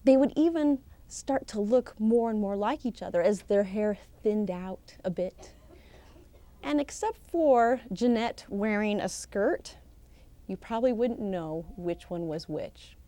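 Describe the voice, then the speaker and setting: A woman telling a folktale live as a professional storyteller, expressive and theatrical, with deliberate pauses and emphasis. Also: mid-range voice, no room sound. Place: live storytelling session at a conference.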